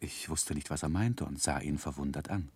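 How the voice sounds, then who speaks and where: A man acting as a reflective narrator recalling his boyhood in a radio play, with measured, expressive literary storytelling. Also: a bass voice, breathy edge, exaggerated voice. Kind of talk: scripted narration, 1960s German radio play.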